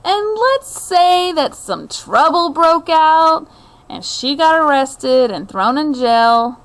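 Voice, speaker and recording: Middle-aged lady doing a kids book read-aloud, high voice, real slow and expressive, chats to listeners. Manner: expressive storytelling with deliberate phrasing and asides to listeners.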